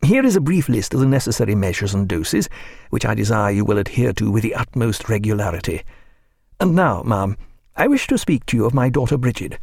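A man's voice: fairly low pitch, sing-song, fairly quick, gravelly, darkish tone, husky, no room sound, studio quality, full character voice. Man reading a stern, formal doctor. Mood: firm. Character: reserved, firm ship's doctor and father.

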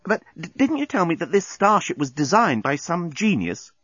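A man's voice: fairly high pitch, very animated, normal speed, fairly bright tone, slightly rough, no room sound, dull audio, pitched above the performer's own voice, heavily acted. A man playing a plain-spoken, matter-of-fact young Earth woman in an audiobook. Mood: puzzled.

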